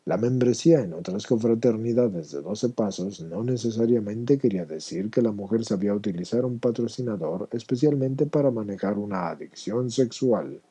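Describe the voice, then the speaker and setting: A man's voice: lowish voice, warm tone, breathy edge. A man reading a personal testimony aloud as scripted narration.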